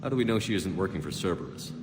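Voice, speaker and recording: A man's voice: sits low, expressive, rapid-fire, loud music behind the voice. An actor playing a hard, ruthless male military commander in a video game, terse and no-nonsense. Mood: blunt, suspicious. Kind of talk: video game cutscene acting.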